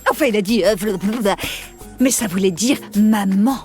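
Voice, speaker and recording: A woman's voice: mid-range voice, moderate pace, a little mellow, close to an everyday voice. A woman voices a young cartoon mother. She sounds doting and proud, with faint music in the background. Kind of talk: French children's cartoon acting.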